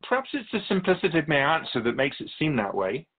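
A man playing a patient, wise, otherworldly Keeper of Souls who teaches in a measured, philosophical way in a radio drama. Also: fairly high pitch, very animated, narrow, tinny audio. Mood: patient, instructive. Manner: measured, philosophical, gently instructive. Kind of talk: scripted radio drama dialogue.

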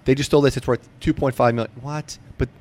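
A quick-talking American man hosts a casual interview in a mid-range voice. His delivery is informal and blunt, like easy chat between two people. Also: mid-range voice, fairly even intonation, darkish tone. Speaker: interview host.

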